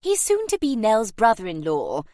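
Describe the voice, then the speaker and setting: A woman's voice: medium pitch, sing-song, normal speed, no room sound, studio quality, lightly acted. A woman voicing an imperious, airy older aristocratic English aunt in an audiobook, emphatic and grandly dismissive. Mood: insistent. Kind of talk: audiobook character acting.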